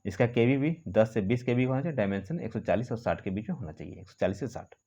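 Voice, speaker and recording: A man talks quickly in a medium-pitched voice, walking listeners through written details as an informal channel host. He repeats phrases and often adds little check-in tags. The recording sounds dull and muffled. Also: animated, dark tone. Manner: repetitive, with frequent check-in tags. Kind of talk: informal explainer, reading a notice aloud and commenting.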